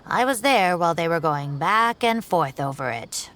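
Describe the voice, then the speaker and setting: A woman voicing a genteel, worried older female professor in a video game, with gently fretful exclamations. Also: lowish voice, expressive, normal speed, slight background noise. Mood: earnest.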